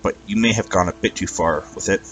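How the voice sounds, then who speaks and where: A man's voice: low voice, fairly bright tone, faint music behind, rough recording. Man voicing a formal, regal female pony ruler. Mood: critical. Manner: formal, measured, critical.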